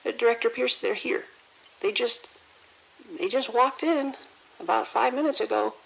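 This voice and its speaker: An actor playing a frightened, timid male hospital pharmacy worker in an audio drama, halting, stammering and hushed. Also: medium pitch, creaky, low-quality recording. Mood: fearful.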